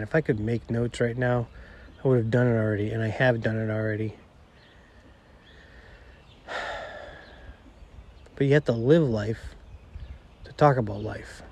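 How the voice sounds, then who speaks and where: A middle-aged man with a nasal voice hosting a podcast on his own, rambling and self-deprecating, talking quietly outdoors. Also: mid-range voice, steady tone, normal speed, pauses often. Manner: quiet, not projecting. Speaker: podcast host. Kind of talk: casual solo monologue.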